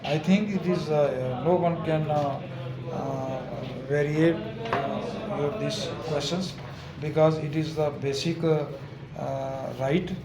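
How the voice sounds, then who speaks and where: An older man, an advocate, answering interview questions haltingly with many fillers and restarts. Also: fairly high pitch. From Azad Kashmir. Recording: echoey with crowd noise.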